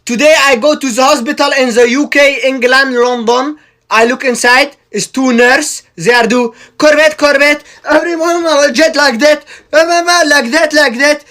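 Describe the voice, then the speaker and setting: A man with a very high, bright voice performs a comic monologue. He speaks in broken phrases and repeats words over and over. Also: moderate pace.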